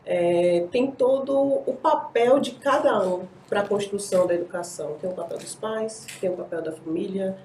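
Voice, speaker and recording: A Brazilian woman on a panel explains her views in a steady, mellow voice, filling pauses with "é" and asking "sabe?". She sits some way off the mic in a roomy, echoing space, with a faint background hum. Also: mid-range voice. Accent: Brazilian Portuguese. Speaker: panel guest. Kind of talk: panel discussion. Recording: distant mic in echoey room.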